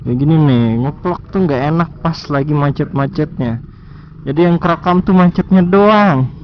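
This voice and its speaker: A man's voice: on the high side, moderate pace, highs cut off. A young man chatting and joking to himself while riding a motorcycle through city traffic. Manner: chatty, joking, slangy, teasing other riders. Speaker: motovlogger. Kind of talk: casual riding commentary.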